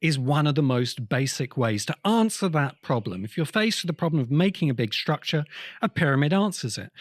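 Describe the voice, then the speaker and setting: A man hosting a podcast, explaining his argument in a deliberate, emphatic way with pauses. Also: medium pitch, no echo, studio quality. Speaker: podcast host. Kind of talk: explanatory podcast monologue, essay-like commentary.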